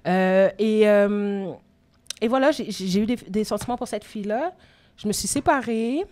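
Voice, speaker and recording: A woman's voice: mid-range voice, normal speed, mellow. A woman in her thirties from Quebec with a Québécois accent, a rapper guest telling her story openly in an interview. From Quebec, Canada. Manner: open, reflective personal storytelling. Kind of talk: candid conversational interview. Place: live podcast taping at a venue. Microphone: phone recording.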